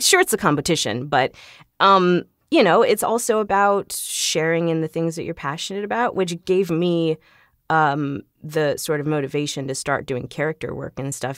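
A woman's voice: sits low, dry recording, clean studio sound. A woman chatting casually as an interview guest, laughing easily as she talks. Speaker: podcast guest, voice actor. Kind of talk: casual conversational interview talk.

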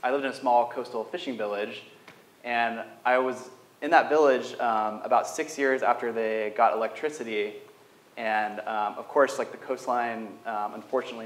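Low-voiced young man in a roomy hall. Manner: earnest, thoughtful, frequent ums. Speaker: panelist. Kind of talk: panel discussion answer. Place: large wood-paneled lecture hall. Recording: roomy hall sound, faint ambience.

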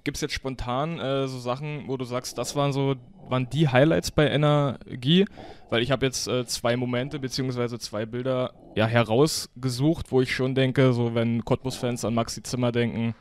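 A man's voice: mid-range voice, steady tone, normal speed, a little hiss or hum. A man hosting a podcast, interviewing in a casual, informal, conversational way. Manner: informal, colloquial German. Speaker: podcast host.

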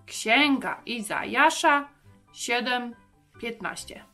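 Here is a firefighter puppet, voiced by a woman, made for a children's Bible program. The voice is forceful and bright and sits a bit high. It speaks very slowly and deliberately, stressing the words for young listeners. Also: lively intonation, faint music behind, heavily acted. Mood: emphatic, encouraging. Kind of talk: children's Bible memory verse segment.

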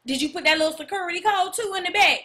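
A woman's voice: very high-pitched, racing, bright, forceful tone. A woman voicing a bossy, casual woman on a phone call, checking details in a matter-of-fact, slangy way. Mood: bossy, businesslike.

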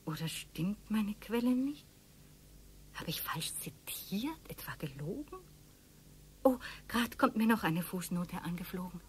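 A woman playing rambling eccentric Karin, husky. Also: mid-range voice, expressive, unhurried. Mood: dreamy. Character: eccentric sister-in-law Karin, rambling fantasist. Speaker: radio drama actor. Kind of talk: amateur radio play reading.

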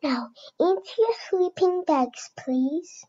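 Woman playing caring mother zebra, firm and instructing, high voice, very slow storybook delivery, dull recording. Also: expressive, mellow, full character voice.

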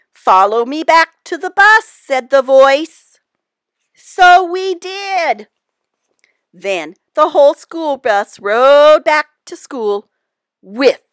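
A woman reading a children's picture book aloud, with expressive emphasis and dramatic pauses. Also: medium pitch, dry recording. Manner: deliberate, dramatic pauses, animated emphasis for young listeners.

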